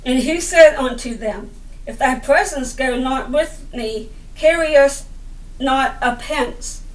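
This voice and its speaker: A middle-aged woman reading the Bible aloud as a sermon, in deliberate broken phrases with stumbles over the words. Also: high voice. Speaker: lay preacher reading scripture. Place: indoor room by a window. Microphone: camera a little way off. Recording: roomy, distant camera microphone.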